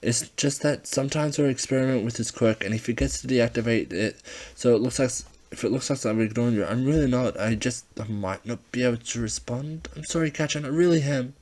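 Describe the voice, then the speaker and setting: Man voicing a timid, high-pitched rambling schoolboy. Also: a little fast, a little mellow, lightly acted. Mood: apologetic, nervous. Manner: stammering, hesitant, rambling. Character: timid, earnest, rambling schoolboy, eager to placate. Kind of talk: amateur fan fiction audiobook character voice.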